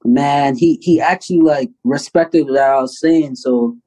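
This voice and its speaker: Animated storytelling man. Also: mid-range voice, measured pace, low-quality recording. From Canada. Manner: animated storyteller, acts out quotes loudly. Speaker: basketball player, guest. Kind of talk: casual storytelling interview.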